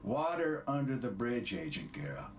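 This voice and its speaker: A man's voice: mid-range voice, big pitch swings, normal speed, slight background noise. A stern middle-aged official in a crime game, briefs with blunt authority. His voice is mid-range and slightly distant. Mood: blunt, commanding. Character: stern, authoritative male government chief.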